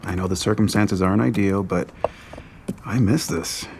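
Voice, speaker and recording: An actor playing a steady, supportive male friend in a naturalistic romantic-comedy audio drama. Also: fairly low pitch, moderate pace, creaky, slight background noise. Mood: tender, wistful.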